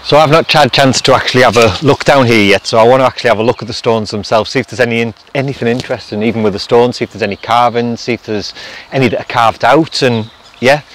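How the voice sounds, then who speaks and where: A man's voice: mid-range voice, quick pace, a bit bright, a little hiss or hum. Man musing outdoors in woods. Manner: speculative, explaining as he goes. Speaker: vlog host restoring a château. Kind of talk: casual walk-and-talk vlog narration. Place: outdoors in a forest.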